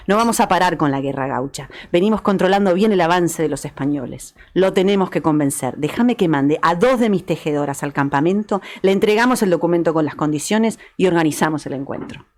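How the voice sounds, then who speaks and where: A woman's voice: on the low side, natural voice. A woman acting an insistent Argentine patriot woman. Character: urgent, persuasive patriot woman advising her brother, the governor. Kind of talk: dramatized historical radio reading.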